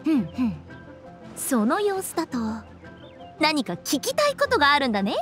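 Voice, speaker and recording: A woman's voice: high voice, huge pitch swings, moderate pace, fairly bright tone, heavily acted. An actress in a Japanese anime game playing a quirky, teasing young woman with a theatrical, sing-song way of telling things. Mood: playful, teasing. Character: playful, quirky young woman running a funeral parlor. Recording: faint music behind the voice.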